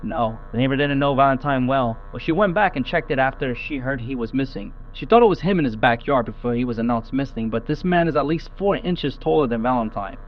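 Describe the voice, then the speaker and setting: Patrol officer on muffled police radio. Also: male voice, mid-range voice, lively intonation, fairly quick, hardly any pauses. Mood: official, matter-of-fact. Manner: clipped, official, matter-of-fact. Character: procedural patrol officer reporting a scene to dispatch. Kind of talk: audio drama police radio report. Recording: muffled police radio, humming static noise.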